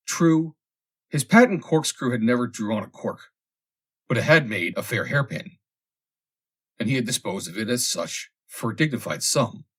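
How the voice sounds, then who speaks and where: A man narrates a humorous vintage tale in a rather low, husky voice. His pitch moves in animated swings, and he phrases things deliberately, with theatrical emphasis on key words. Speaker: audiobook narrator.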